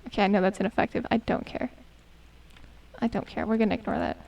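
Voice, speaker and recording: A woman in her twenties, going hoarse as she chats casually and mutters to herself while streaming a game. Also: mid-range voice, steady tone, quick pace, darkish tone, far from the mic, lo-fi sound. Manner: chatty, self-mocking, hums and mutters to herself. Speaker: gaming streamer. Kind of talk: casual gameplay commentary.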